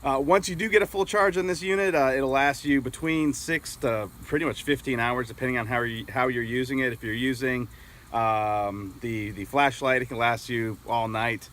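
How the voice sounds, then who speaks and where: A man's voice: medium pitch, steady tone, normal speed, fairly bright tone. A middle-aged American man talking casually and a little hesitantly as he explains a product outdoors. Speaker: product reviewer. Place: outdoors in an open grassy yard with trees.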